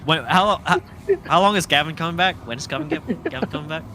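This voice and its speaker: A man's voice: fairly high pitch, lively intonation, fast talker, pushed and bright. A young man chatting outdoors, giving short, reluctant answers. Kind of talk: casual chat. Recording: noisy outdoor street recording.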